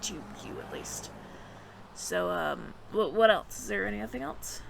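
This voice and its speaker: A woman's voice: mid-range voice, big pitch swings, measured pace, creaky, a little hiss or hum, full character voice, low-quality recording. A woman voicing a friendly, flustered alien roommate who passes as human, speaking to the listener in a hesitant, stammering, earnest ramble in an audio roleplay. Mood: explaining, sheepish.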